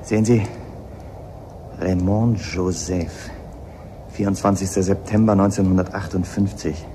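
An actor playing a probing, methodical police inspector in a German radio play, speaking deliberately. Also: male voice, sits low, fairly even intonation. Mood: grave, certain. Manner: questioning, deliberate, hesitant openers. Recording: clear background ambience noise.

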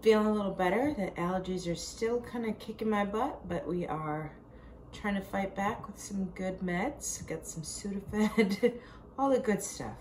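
A woman's voice: medium pitch, measured pace, a little mellow, a little hiss or hum. Chatty woman with a sore throat. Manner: chatty, rambling, self-deprecating. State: sore throat, losing her voice. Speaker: diet vlogger. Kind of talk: casual video diary. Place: home kitchen, indoors. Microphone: camera at arm's length.

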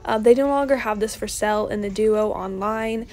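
A young woman narrating a casual shopping haul, chatty and relaxed, with lots of ums and likes. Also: medium pitch, moderate pace. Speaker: vlogger.

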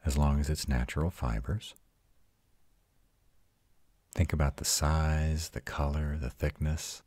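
Ancient male vampire, played by a man, intimate audio roleplay; bottomless bass, dry close sound, tender and reassuring. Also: no echo, heavily acted. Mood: soothing, affectionate. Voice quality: very deep, husky. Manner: soft, intimate, slow reassurance. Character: ancient arrogant male vampire tenderly comforting his mate. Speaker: voice actor.

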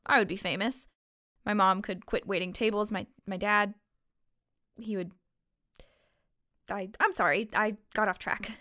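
A woman playing a guarded, grieving young waitress in a fiction podcast, speaking in a halting, matter-of-fact way. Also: mid-range voice, fairly even intonation, pushed and bright, dry recording, clean studio sound. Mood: wistful, guarded. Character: guarded young waitress grieving her missing sister, weary. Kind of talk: fiction podcast drama acting.

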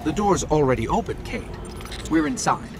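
An actor playing a small, earnest robot companion in a video game, observant and matter-of-fact. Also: male voice, on the high side, animated, normal speed, very noisy. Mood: earnest, concerned. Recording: game dialogue under loud music. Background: loud music.

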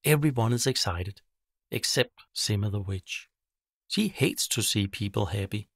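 A man with a lowish, creaky voice narrates a children's storybook. He reads in short, simple phrases, recorded very dry and close. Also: expressive, a little slow, a bit bright, no room sound.

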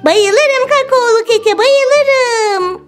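A woman voicing an excitable, cheeky little girl in a toy play video, with a high, childlike, squeaky voice. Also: drawn-out words, exaggerated voice. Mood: delighted.

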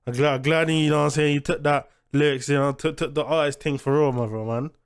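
A man's voice: on the high side, fairly even intonation, quick pace, smooth. A young adult man giving casual, slangy reaction commentary as the host of a music reaction video. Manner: slangy, street-style talk. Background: hip hop music playing.

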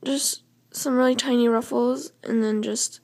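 A young woman with a slightly hoarse voice, chatting loosely through a step-by-step drawing tutorial while she sketches. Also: on the high side, fairly even intonation, measured pace, darkish tone. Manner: chatty, loose, with fillers and self-corrections. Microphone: camera over the drawing paper, speaker off frame.

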